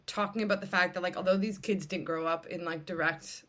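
A woman's voice: lowish voice, fairly even intonation, brisk, fairly bright tone. A woman hosting a casual conversational interview, talking in a candid, informal and emphatic way. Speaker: podcast host.

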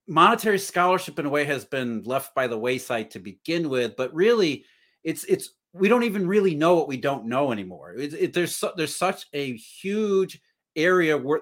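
A man's voice: a little high, a bit bright. A man speaking as a podcast guest, earnest and thinking aloud with restarts. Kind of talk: casual podcast conversation.

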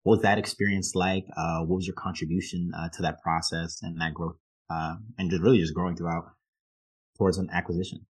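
A man's voice: sits low, little pitch movement, fairly quick, husky. A man hosting an interview podcast, asking wordy, conversational questions that he rephrases as he goes.

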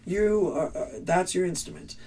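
Raspy male band vocalist, earnest rambling talker, slightly high voice, off-mic. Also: lively intonation, normal speed, dark tone, distant mic, a little hiss or hum. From Canada. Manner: rambling, earnest advice with restarts. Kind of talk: casual interview answers.

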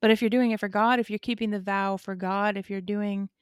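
A woman talks thoughtfully in a calm, level tone with little rise and fall. Her delivery is steady and unhurried. The sound is dry and close. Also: medium pitch, clean tone, dry recording. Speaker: podcast co-host. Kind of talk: casual faith discussion.

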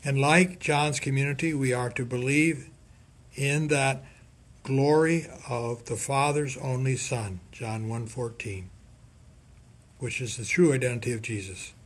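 A man with a husky, slightly rough voice at a middling pitch reads a scripted religious reflection. He speaks deliberately, setting phrases apart with pauses and leaning on key words for emphasis. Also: fairly even intonation. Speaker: priest giving a scripture reflection. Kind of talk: scripted sermon reflection read aloud.

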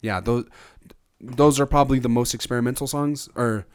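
A young man chatting casually on a music review podcast. He rambles through his thoughts with frequent restarts and fillers, in a relaxed, offhand way. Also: mid-range voice, normal speed, smooth. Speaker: podcast co-host. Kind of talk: casual album review chat.

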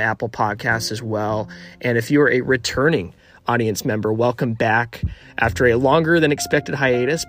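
Enthusiastic young male podcast host. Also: medium pitch. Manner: enthusiastic, repetitive hype phrases. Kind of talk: upbeat podcast intro and interview.

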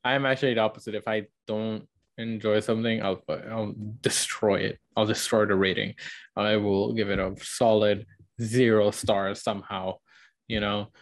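A man co-hosting a book podcast, chatting casually and defending his opinions in an animated, playful way. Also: lowish voice, moderate pace.

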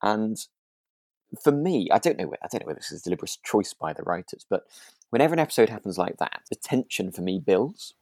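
A man co-hosting a podcast, chatting casually about a radio drama and telling stories in an animated way. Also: lowish voice, fast talker, no echo. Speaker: podcast co-host.

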